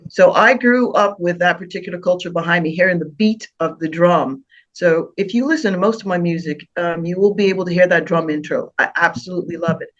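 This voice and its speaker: A woman's voice: lowish voice, brisk, dull audio. An older woman from the Bahamas with a Bahamian accent tinged with American, telling stories as a guest in a casual interview, with frequent laughs and restarts. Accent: Bahamian with American touches. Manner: warm storytelling, laughs often, many restarts. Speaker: guest singer.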